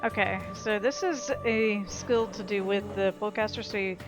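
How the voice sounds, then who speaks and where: A woman's voice: medium pitch, steady tone, moderate pace, poor audio. A woman giving casual, chatty commentary as she plays a video game, thinking aloud. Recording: game music behind the voice. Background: video game music and sounds.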